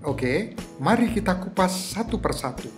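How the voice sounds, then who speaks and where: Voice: mid-range voice, lively intonation, a little slow. A presenter gives scripted instructional narration in a didactic manner, putting rhetorical questions to the listener. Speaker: host of a screenwriting tutorial. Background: guitar music.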